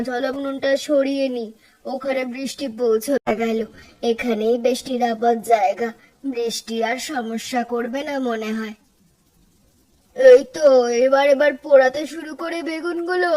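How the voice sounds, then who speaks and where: A woman voicing a homely, chatty village housewife in cartoon story dialogue, expressive and conversational. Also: high voice, low-quality recording.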